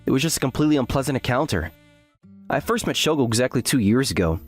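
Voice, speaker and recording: A man's voice: medium pitch, animated, fast, breathy edge, faint music behind. A man playing an earnest, self-deprecating graduate student who narrates his story in an anime-style dub. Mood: annoyed. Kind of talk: first-person scripted narration with acted dialogue.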